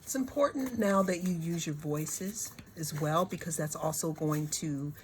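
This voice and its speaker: A woman giving a reflective spiritual card reading, her voice hoarse, talking in unhurried, circling phrases that often repeat words. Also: low voice, slight background noise. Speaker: tarot and oracle card reader.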